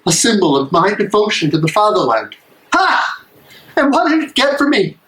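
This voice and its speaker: An actor playing an anguished, disillusioned German soldier and prison guard, emotional and sarcastic, in an echoey play reading. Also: male voice, high-pitched, very animated, normal speed, fairly bright tone, a little hiss or hum. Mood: bitter, angry. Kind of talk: dramatic reading of a stage play. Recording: echoey room, live reading.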